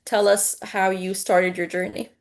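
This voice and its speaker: A young woman from Egypt who is moderating an online panel. She has a medium-pitched voice and a relaxed, conversational delivery. Her speech carries small hesitations as she puts her questions together. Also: measured pace.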